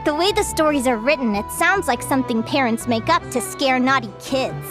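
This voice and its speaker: A woman's voice: high voice, moderate pace, close to an everyday voice. A woman voicing an earnest, adventurous, chatty young fae changeling in game dialogue. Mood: earnest, storytelling. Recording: music behind the voice.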